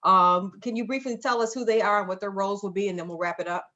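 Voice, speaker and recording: A woman's voice: mid-range voice, steady tone, quick pace, sharp tone, highs cut off. A woman with an American accent hosting a live show and interviewing guests in a casual way.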